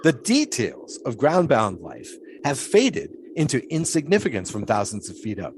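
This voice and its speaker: Brief: man as 1920s pole sitter, lofty and musing, lively swooping delivery, faint ambience. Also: medium pitch, normal speed, a little hiss or hum, lightly acted. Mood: lecturing. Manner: grandiose, musing, theatrical. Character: philosophical 1920s male flagpole sitter. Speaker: flagpole sitter being interviewed. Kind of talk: period audio drama acting.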